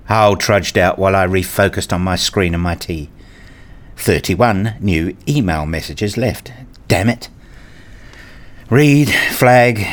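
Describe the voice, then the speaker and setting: A man plays a weary male academic telling his own story in a radio play. The voice is on the low side. He is dry and sardonic, easily irritated by routine. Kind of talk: scripted first-person narration, radio play.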